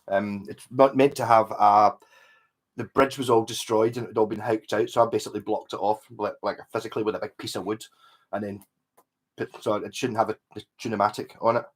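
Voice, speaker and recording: A man hosting a livestream in casual chat, rambling and correcting himself as he reads viewer comments and greets people by name. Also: fairly low pitch. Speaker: livestream host talking guitars with chat.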